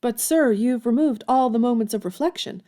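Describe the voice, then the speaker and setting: A woman's voice: fairly high pitch, moderate pace, dark tone, lightly acted. An actress playing a proud, earnest young woman writer in a period audio drama, speaking formally and politely. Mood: polite, guarded.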